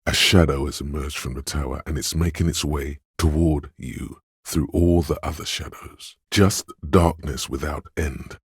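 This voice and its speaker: A man voicing the inner sense of perception in a video game, narrating what the hero notices in a measured, observant, second-person way. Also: very deep voice, steady tone, husky, no room sound, exaggerated voice. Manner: measured, observant, softly descriptive delivery. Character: the hero's inner sense of perception, male, watchful and calm. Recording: dry close studio recording.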